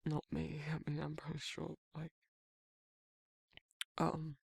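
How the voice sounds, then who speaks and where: A performer voicing a big, tender male werewolf who is shy and awkward. The voice is very gravelly and breathy, often dropping toward a whisper, and it stammers and rambles. It is recorded close and dry, as intimate romantic ASMR roleplay. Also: medium pitch, monotone, slow, a little mellow, no room sound, heavily acted. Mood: bashful, nervous. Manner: hesitant, rambling, stammering, bashful.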